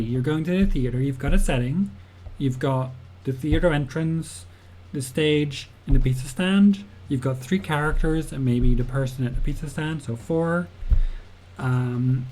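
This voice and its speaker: A man's voice: mid-range voice, a little hiss or hum. A young man, a game developer, gives a casual livestream tutorial, thinking aloud with fillers, laughing often and answering chat. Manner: chatty, giggly, thinks aloud with many fillers.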